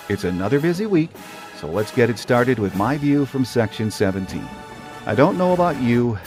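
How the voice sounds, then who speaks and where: A man hosting a sports podcast, reading a scripted monologue in a steady way. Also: fairly low pitch. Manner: steady, read-from-script delivery. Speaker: podcast host.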